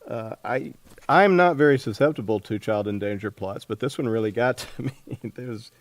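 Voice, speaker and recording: A man hosting a casual book interview, speaking in a halting way as he thinks aloud. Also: mid-range voice, no echo. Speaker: podcast host interviewing an author.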